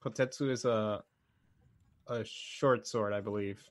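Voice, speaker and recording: A man's voice: on the low side, steady tone, unhurried, no echo, clean studio sound. A man co-hosting a podcast, chatting casually in geeky banter. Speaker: podcast co-host.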